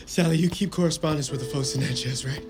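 A man's voice: a little high, quick pace, lightly acted. An actor playing an earnest, loyal freed man of the 1860s South in an audio drama. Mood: anxious, hopeful. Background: music.

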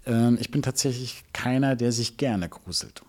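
A middle-aged man giving explanatory answers as an interview guest, halting and deliberate, with rather formal wording. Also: medium pitch. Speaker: interview guest, music label executive.

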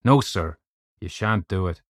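A man voicing a brisk, blunt young Irish doctor in an audiobook, hearty and teasing with an Irish accent. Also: sits low, expressive, dry recording, clean studio sound. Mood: scornful, emphatic. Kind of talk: audiobook character voice.

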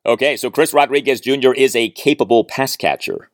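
A man hosting a sports podcast, introducing and questioning with emphatic, deliberate phrasing. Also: mid-range voice, no room sound, clean studio sound. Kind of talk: scripted introduction and interview questions.

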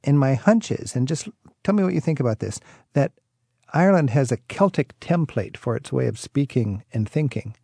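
A male host with a mellow, gravelly voice asks eager, curious questions. The recording is dry and close, with no room sound. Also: medium pitch, expressive, moderate pace, no echo. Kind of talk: travel interview.

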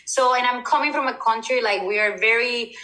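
A woman's voice: fairly high pitch, fairly quick, sharp tone, echoey room, low-quality recording. A woman from Colombia with a Colombian Spanish accent, a native Spanish speaker, speaking candidly as an interview guest. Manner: candid, with restarts and fillers in her second language. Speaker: guest, former reality TV participant. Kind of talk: casual interview.

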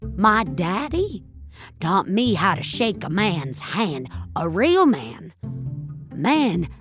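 A woman's voice: mid-range voice, very animated, takes it slowly, over music. A woman voicing an angry, desperate elderly bank customer in an audio drama. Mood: growling anger. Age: older. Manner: indignant, insistent. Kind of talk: audio fiction acting.

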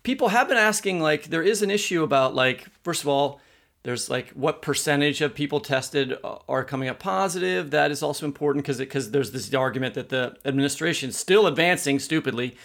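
A man's voice: a little high, animated, brisk, vocal fry, fairly bright tone. A middle-aged man with an American accent, co-hosting an interview podcast in an informal, rambling, conversational way. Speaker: podcast co-host.

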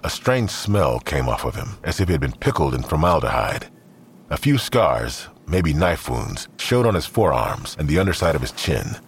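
A man's voice: rumbling low, big pitch swings, moderate pace, slight background noise. A man narrating a scripted audio drama in the role of storyteller.

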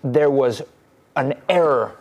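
A man's voice: medium pitch, slow, a little mellow. A man hosting a podcast discussion, asking questions and building to emphatic points. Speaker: podcast host.

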